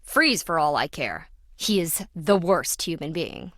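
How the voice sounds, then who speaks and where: A woman's voice: sits low, big pitch swings, a little slow, fairly bright tone, dry recording, heavily acted. A brash treasure-hunting businesswoman for a video game. She is flippant and self-important, griping in slangy, sarcastic lines. The recording is dry and close, with no room around her. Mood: griping, exasperated. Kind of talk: video game character dialogue.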